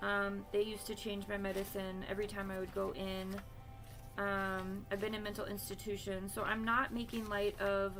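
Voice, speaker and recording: A woman's voice: medium pitch, a bit bright. Candid woman in her late thirties, talking freely. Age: 30s. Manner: candid and conversational, many fillers and asides. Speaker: podcast host. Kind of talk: casual monologue.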